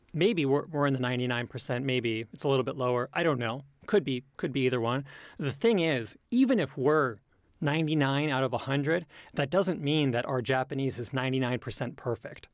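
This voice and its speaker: A man who speaks English natively answers in a casual, reflective interview. His voice sits at a medium pitch and he picks his words carefully, with hesitations and qualifications. The sound is dry, with no room echo. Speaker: interview guest, language learner and comedy creator.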